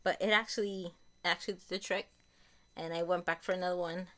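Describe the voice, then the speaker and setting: Slightly raspy, lower-voiced chatty woman. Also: fairly even intonation, brisk, fairly bright tone. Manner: chatty, repeats and corrects herself. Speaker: beauty vlogger. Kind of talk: casual product review.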